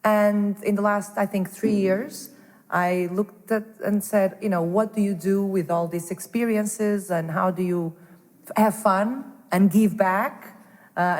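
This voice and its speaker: A woman's voice: mid-range voice, darkish tone, in a large hall. A woman from Portugal, a guest in a casual interview who talks animatedly, restarts her sentences often and says "you know" a lot. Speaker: guest, angel investor. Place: startup hub space.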